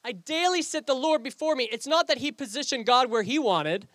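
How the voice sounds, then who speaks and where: A man's voice: extremely high pitch, lively intonation, a little fast, a bit bright, no echo, clean studio sound. A man pastoring an outdoor service, preaching a sermon with a Canadian accent, earnest and prone to repeating and restarting phrases. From England.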